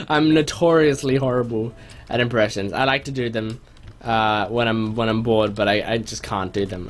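A young man giving casual, excitable gameplay commentary in an animated way, often interrupting himself. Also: mid-range voice. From born in New Zealand, spent first three years there. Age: young adult. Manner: animated, self-interrupting, prone to exclamations. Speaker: gaming video host. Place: small room at home, indoors.

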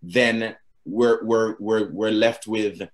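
A man's voice: lowish voice, fairly even intonation, slow pace. A man in his thirties with a thick Jamaican accent, answering interview questions over a video call with an easygoing manner, often restarting his sentences. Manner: easygoing, frequent fillers and restarts. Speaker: guest, theatre producer. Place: home room.

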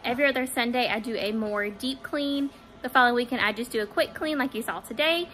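Bright-voiced young woman chatting at home. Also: fairly high pitch, fast talker. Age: young adult. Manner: chatty, relaxed, frequent ums. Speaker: home cleaning vlogger. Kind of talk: casual talk to camera. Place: living room at home, seated on a couch. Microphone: phone camera held close.

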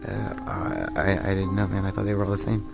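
A man's voice: sits low, little pitch movement, fairly quick, creaky, loud music behind the voice. A man talking in a loose, joking, improvised way. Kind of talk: casual improvised rambling.

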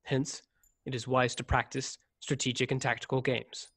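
A man's voice: medium pitch, little pitch movement, moderate pace, fairly bright tone, husky. A young man acting a proud, rigid, volatile starship ensign in a radio play, speaking formally and in clipped phrases. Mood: grave, confiding. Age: young adult. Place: recorded over a video call, close studio mic. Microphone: desktop microphone, headset worn.

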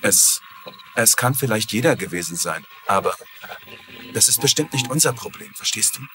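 A man's voice: on the low side, lively intonation, normal speed, lightly acted. An actor playing a defensive young man in a dubbed TV mystery, earnest and insistent about his father. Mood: placating. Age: young adult. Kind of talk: dubbed TV mystery drama acting.

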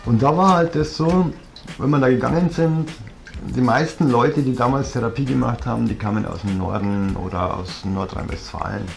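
Bavarian guy on his sofa, gravelly voice, blunt and rambly, lots of ums. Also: mid-range voice, moderate pace, faint music behind. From southern Germany. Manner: blunt, rambling, frequent fillers. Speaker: vlogger. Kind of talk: reflective personal storytelling. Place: living room, seated on a leather sofa. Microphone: fixed camera at close range.

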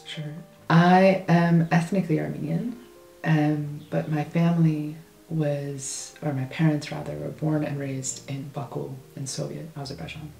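A woman, answering interview questions in a relaxed, slightly hesitant way. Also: low-pitched, unhurried, gravelly, roomy sound, soft background music, low-quality recording. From United States.

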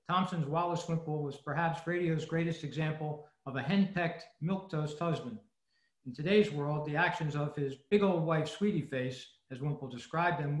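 A man reading scripted narration as a presenter at a club meeting over a video call. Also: a little high. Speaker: presenter at an old-time radio club meeting. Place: indoor room, group video call. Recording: echoey room on video call.

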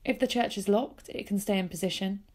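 A woman reading a scripted voice-over that gives slow, careful, step-by-step instructions for setting up equipment. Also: medium pitch, darkish tone. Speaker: project presenter.

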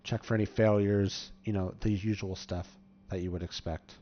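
Low-voiced man hosting a casual tutorial. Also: fairly even intonation, moderate pace, a little hiss or hum. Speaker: tutorial host. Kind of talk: casual game tutorial commentary. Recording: slightly dull, muffled microphone.

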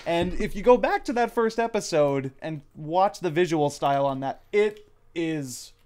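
A man hosts a casual cast Q and A. His voice sits a little high and moves with very lively intonation. He talks in an animated, jokey way. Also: measured pace. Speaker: host and voice actor. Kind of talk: casual group Q and A chat.

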